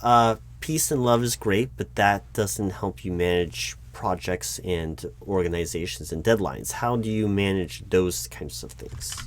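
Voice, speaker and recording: A man playing a job interviewer in an ASMR roleplay, patient but baffled, with a dry, halting delivery full of restarts. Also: low-pitched, a little hiss or hum. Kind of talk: ASMR roleplay, spoken aloud, not whispered.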